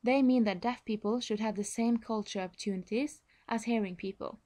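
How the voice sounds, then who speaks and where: A woman's voice: on the high side, fairly quick, mellow. Norwegian-accented woman giving a presentation. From Norway. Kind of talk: prepared informational presentation.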